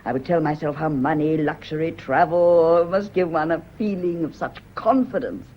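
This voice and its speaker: A woman's voice: sits low, normal speed, lightly acted. An actress playing an anxious, guilt-haunted woman with a British accent, nervous and brooding in a radio drama. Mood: reminiscing. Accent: British English. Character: anxious, guilt-haunted woman, former live-in servant or companion. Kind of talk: British stage-style radio drama acting. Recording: dull, hissy old radio recording.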